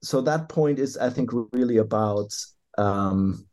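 A man answering interview questions as an author, thinking aloud with many pauses, fillers and restarts. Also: medium pitch, darkish tone. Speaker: author and historian guest.

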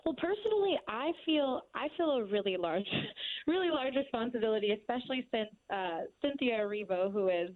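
A woman's voice: fairly high pitch, animated, a little fast, no room sound, narrow, tinny audio. A woman, a stage actress, talking earnestly and thoughtfully about her work in an interview. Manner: earnest and reflective. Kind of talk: casual interview chat.

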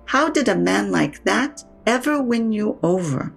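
A woman's voice: mid-range voice, animated, measured pace, gravelly, breathy edge, faint music behind, exaggerated voice. A woman playing a blunt, sardonic older mother in an audio drama, with a frank, dry way of talking. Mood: wry, frank. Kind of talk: naturalistic audio drama acting.

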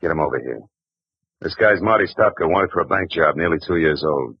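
An actor playing a wisecracking hard-boiled private detective who also narrates, in a 1940s radio drama with a dull old broadcast sound. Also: male voice, very deep voice, expressive, brisk, no room sound, heavily acted. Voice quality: husky, clipped, tough-talking. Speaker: narrator and lead.

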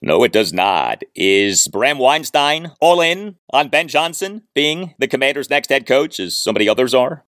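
A man hosting a sports podcast, speaking in an emphatic, deliberate way with stressed key phrases. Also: mid-range voice, big pitch swings, fairly bright tone, no echo, clean studio sound. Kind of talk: scripted ad read and interview questions.

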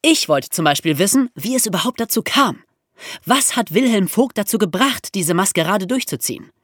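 A man's voice: sits high, animated, moderate pace, fairly bright tone, no echo, clean studio sound. A man co-hosting a podcast, telling and explaining a historical story from a script. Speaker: podcast co-host.

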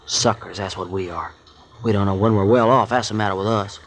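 An actor playing a complaining, folksy sidekick with a drawl in a 1940s radio drama. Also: male voice, on the low side, steady tone, fairly quick, slight background noise, close to an everyday voice. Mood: grumbling. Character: grumbling folksy male sidekick.

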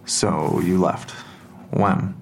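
A man playing a stern police detective in an audio drama, questioning a suspect in a measured, probing way with a gravelly voice. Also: deep voice, fairly even intonation, heavily acted. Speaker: police interrogator. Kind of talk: full-cast audio drama acting.

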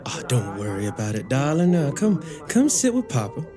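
A man's voice: medium pitch, moderate pace, music in the background. An actor playing a devoted but wary father and former slave in a period audio drama, with a folksy manner. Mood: tender, playful. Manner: folksy, expressive.

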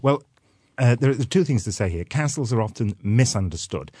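A man's voice: mid-range voice, animated, moderate pace, dark tone, slightly rough, dry recording. A man from North Wales with a Welsh accent, explaining things as a guest expert in a radio interview, with dry wit.

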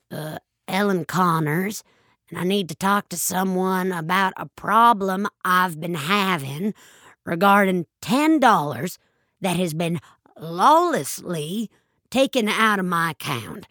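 A woman voicing an anxious, crotchety elderly woman in an audio story, halting and pleading. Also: on the low side, a bit bright, dry recording, clean studio sound. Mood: flustered, pleading. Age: older. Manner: halting, pleading, old-fashioned.

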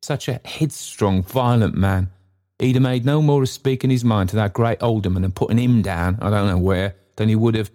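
A man voicing a tender young woman who fondly scolds her father, raised well above his own low voice. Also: moderate pace, dark tone, close to an everyday voice. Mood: teasing. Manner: affectionate, scolding.

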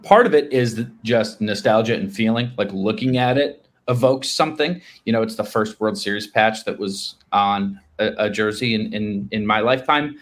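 An American man in his thirties chatting casually as a sports podcast co-host, in a halting, conversational way. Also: fairly low pitch.

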